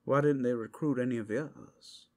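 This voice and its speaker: A man's voice: mid-range voice, fairly even intonation, fairly quick, darkish tone, lightly acted. A man playing a wry, chatty werewolf in a fantasy ASMR roleplay, casual and theatrical in his delivery. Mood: wary explaining.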